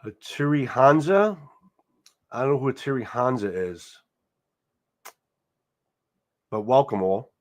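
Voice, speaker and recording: A man's voice: mid-range voice, animated, normal speed. New York Italian-American man chatting on a livestream. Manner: rambling, repeats words, casual swearing. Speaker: livestream host. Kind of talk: casual live chat reading comments. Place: home, seated in a chair.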